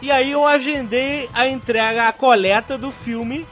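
A Brazilian man hosting a podcast, chatting excitedly and casually with his co-hosts. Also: extremely high pitch, unhurried, faint music behind. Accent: Brazilian Portuguese. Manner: excited, chatty, keeps saying "cara". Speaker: podcast host. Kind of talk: casual podcast chat and sponsor read.

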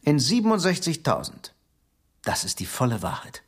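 An actor in a radio drama playing a scheming, secretive Soviet security chief who speaks in a conspiratorial, patronising, insistent way. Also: male voice, on the high side, huge pitch swings, normal speed, breathy edge, exaggerated voice. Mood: explaining.

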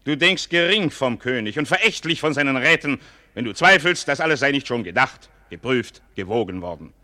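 Sharp, forceful, imperious commander. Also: male voice, mid-range voice, lively intonation. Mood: cold, imperious. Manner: commanding, measured, formal, declamatory. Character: stern, imperious royal commander demanding absolute obedience. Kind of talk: 1940s German radio drama acting, theatrical declamation.

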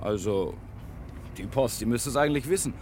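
An actor playing a helpful, easygoing police sergeant in an old radio crime play, casual and a bit hesitant. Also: male voice, medium pitch, moderate pace, darkish tone, slight background noise, natural voice. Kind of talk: 1950s-80s radio drama acting.

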